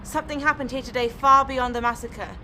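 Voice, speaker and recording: A woman's voice: high-pitched, fairly even intonation, a little fast, sharp tone, a little hiss or hum. A woman playing a driven, anxious female news reporter in a radio drama. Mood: grave reporting.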